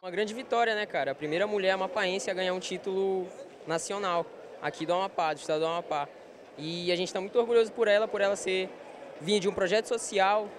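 A man's voice: high voice, fairly even intonation, quick pace, background noise. A young man, a childhood friend, speaking casually and proudly in an interview in an airport hall. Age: young adult. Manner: casual, proud, fillers like "cara". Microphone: handheld reporter mic.

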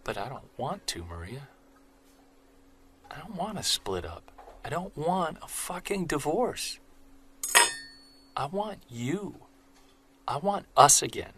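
An actor playing an earnest, soft-spoken Bigfoot investigator who narrates his own story in an audio drama. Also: fairly high pitch, very animated, slow pace, long pauses, background noise. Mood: pleading.

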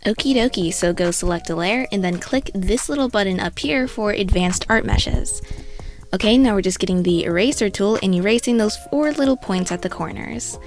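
A woman's voice: mid-range voice, moderate pace, soft background music. A young woman with a stuffy, congested voice, talking casually through an art tutorial voice-over with self-mocking asides. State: congested from a cold.

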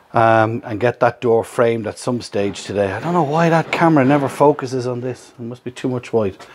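A chatty Irish man in his fifties talks straight to a camera held at arm's length, upbeat and explaining as he goes. His voice sits at an ordinary middle pitch, and the sound is close and casual, recorded in a workshop and inside a van. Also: a little hiss or hum. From Ireland. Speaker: vlogger host. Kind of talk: casual vlog narration. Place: workshop yard and inside a van under build.

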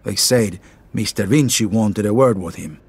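A man playing a mild, courteous immigrant tradesman in a video game, husky. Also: fairly low pitch, moderate pace, a little mellow. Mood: concerned.

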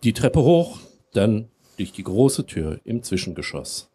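Slow, deep, officious embassy gatekeeper played by a man. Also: big pitch swings, dark tone, breathy edge, full character voice. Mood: formal. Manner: clipped wording, officious. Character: stern, formal male Soviet embassy receptionist. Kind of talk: live radio play. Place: outdoor festival stage under a tent.

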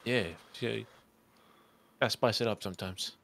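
A man's voice: on the low side, steady tone, normal speed, vocal fry, a bit bright. A man in his thirties, a livestreamer chatting casually with friends at home, rambling and swearing with plenty of laughs, on a headset and desk mic. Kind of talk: casual chat with friends while gaming. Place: home room, indoors.

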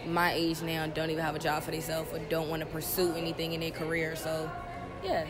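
Relaxed woman in her early twenties. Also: low-pitched, brisk, music in the background. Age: early 20s. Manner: relaxed, talkative, explains at length. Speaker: interviewee. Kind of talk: casual chat. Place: indoor shopping mall.

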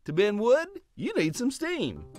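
A man's voice: high voice, wildly swooping pitch, unhurried, a little mellow. A man reading scripted narration for a show with a storytelling lilt. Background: background music.

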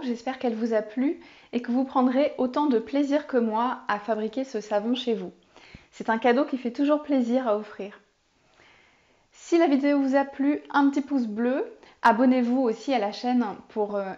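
A woman in her forties explaining soap making step by step in a home kitchen, with a clear, calm teaching manner and a few fillers. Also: a little high. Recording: slightly dull lo-fi sound.

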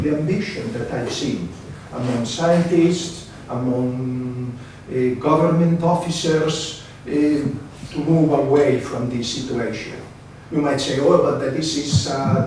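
A man in his sixties from Spain with a Spanish accent, giving a seated conference presentation with a halting, hesitant delivery. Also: medium pitch, a little mellow. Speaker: academic speaker, research council member. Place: seminar room, indoors. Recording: very echoey room, distant mic, faint noise.

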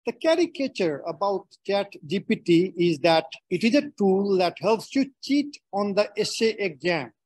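A middle-aged man from Nepal with a Nepali accent gives a prepared webinar talk in a measured, phrase-by-phrase delivery. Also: high-pitched, sing-song, a little mellow, no room sound. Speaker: webinar speaker.

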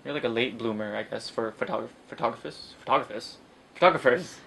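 A man's voice: mid-range voice, fast, gravelly, fairly bright tone, slight background noise. A man in his twenties hosting a casual interview, asking teasing, joking questions in a small room. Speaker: interview host. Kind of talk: casual chat. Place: small indoor room with computers.

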